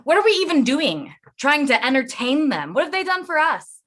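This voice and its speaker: A woman's voice: high voice, very animated, moderate pace, fairly bright tone. A woman playing a hesitant but practical, supportive woman in a staged reading of a play. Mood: practical, impatient.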